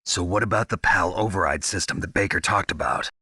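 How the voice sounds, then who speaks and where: An actor playing a gruff, weary special-ops soldier in a video game, terse, deadpan and gravelly. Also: male voice, low-pitched, fairly quick, no room sound, exaggerated voice. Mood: dry, sardonic. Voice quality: gravelly, husky. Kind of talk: dramatic video game voice acting.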